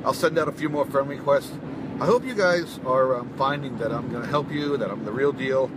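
A man's voice: mid-range voice, fairly quick. Chatty New York man in a car. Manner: chatty, emphatic, self-deprecating asides. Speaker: fitness coach. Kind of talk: casual selfie video pep talk. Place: parked car interior. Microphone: phone held close, front camera. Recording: car with clear road noise.

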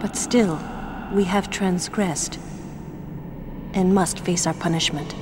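An actress playing a cool, stern, composed young sorceress in a video game, measured and formal. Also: female voice, lowish voice, steady tone, background noise. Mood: cool, grave.

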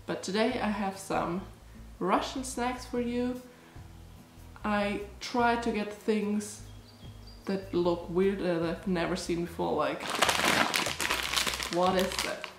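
A woman's voice: medium pitch, steady tone, slow pace, roomy sound, music in the background. A young woman from Germany with a stuffy, blocked-up nose from allergies, chatting casually as she tastes snacks for her vlog. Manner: chatty, rambling, lots of "like" and "um". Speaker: food vlogger. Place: small cottage room, indoors. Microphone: camera in front, close framing.

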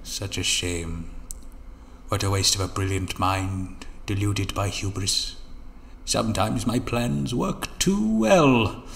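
A man's voice: lowish voice, animated, slow pace, vocal fry, fairly bright tone, exaggerated voice. A man playing a mocking, theatrical villain schemer in an audio drama, silky and taunting in his florid delivery. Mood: gloating.

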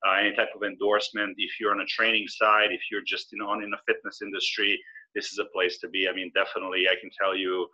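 Forties man, Serbian-born guest, lowish bright voice, slightly dull webcam sound. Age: 40s. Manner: easygoing, measured and explanatory. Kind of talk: casual interview. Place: indoor room with brick wall. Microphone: video call webcam. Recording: slightly lo-fi video call audio.

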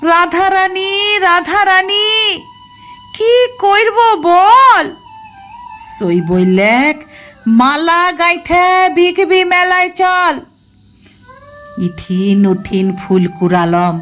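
A woman reciting dramatic poetry as a poor, ill-fated village girl in a rural western Bengal dialect, with a plaintive, emotive, drawn-out delivery. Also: very high-pitched, animated, a bit bright, soft background music, pitched above the performer's own voice, heavily acted. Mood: hopeful, pleading.

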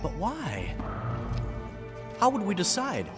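Young male warrior, pleading, emotional, slow halting delivery, audio drama, loud music. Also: high voice, huge pitch swings, reverberant, heavily acted. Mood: anguished pleading. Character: anguished young male imperial warrior, reluctant and loyal.

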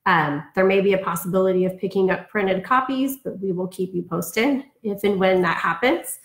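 A woman's voice: mid-range voice, moderate pace. A woman reading aloud for an elementary storytime, telling it expressively with chatty asides. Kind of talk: read-aloud storytime for children. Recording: roomy, echoing sound.

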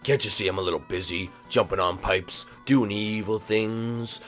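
A man putting on a hulking turtle thug with a low, grumbling voice. He drawls his lines slowly and turns threatening at a moment's notice, with faint music behind. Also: steady tone, fairly bright tone, close to an everyday voice. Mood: gruff. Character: gruff lazy male turtle brute.

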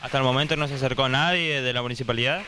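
A male reporter fires off brisk, direct questions in a very fast, bright and forceful voice. Faint outdoor noise surrounds him in the field recording. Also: mid-range voice. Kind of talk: interview questions.

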